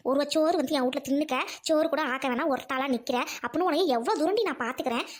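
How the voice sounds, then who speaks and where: A woman's voice: high-pitched, fast talker, close to an everyday voice. A woman playing a hot-tempered, caring older village woman in a drama, scolding and questioning in colloquial speech. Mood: angry scolding. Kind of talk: village comedy-drama acting.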